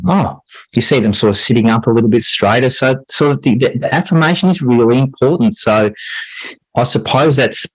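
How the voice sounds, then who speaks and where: A man's voice: medium pitch, brisk, husky, no echo, rough recording. A man giving reflective interview answers as a podcast guest, earnest, often hedging and circling back as he explains. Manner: earnest, hedging with repeated "sort of". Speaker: podcast guest, high school English teacher and writer.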